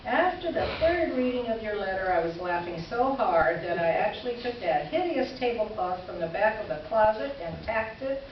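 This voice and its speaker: Actress as ironic woman columnist, formal measured delivery, stage letter reading, very echoey hall sound, far from the mic. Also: medium pitch, expressive, lightly acted. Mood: amused, apologetic. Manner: formal, measured, pointed irony. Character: wry, articulate woman columnist, politely indignant letter writer. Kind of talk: staged reader's theater, reading letters aloud. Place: indoor live stage presentation. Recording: echoey room, distant mic, muffled, noisy.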